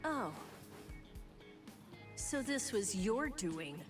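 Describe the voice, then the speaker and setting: A woman playing a stern, composed young female soul reaper in an English anime game dub, speaking in a measured, serious way. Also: a little high, big pitch swings, recorded from a distance, full character voice. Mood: suspicious. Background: loud game music.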